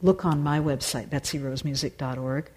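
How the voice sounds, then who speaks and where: Mellow-voiced woman teaching meditation. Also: low voice, normal speed. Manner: thoughtful, searching, many fillers and restarts. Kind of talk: answering audience questions after a dharma talk.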